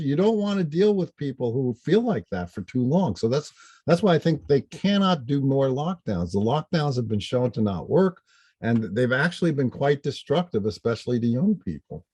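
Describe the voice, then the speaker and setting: A man's voice: medium pitch, dark tone, dry recording. An older man chatting casually as an interview guest, emphatic and opinionated in the way he talks. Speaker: podcast guest, astrologer and author.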